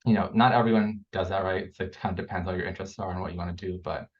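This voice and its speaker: A young man with a deep, hoarse voice answers over a video call, his words rambling and filled with fillers. The call audio sounds a little dull and muffled, like a lo-fi connection. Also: steady tone, very fast. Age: young adult. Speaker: clinical psychology graduate student.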